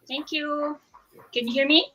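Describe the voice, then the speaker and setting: Bright-voiced Filipina woman on a call. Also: sits high, steady tone, unhurried. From Philippines. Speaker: guest on a group call. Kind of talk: short self-introduction.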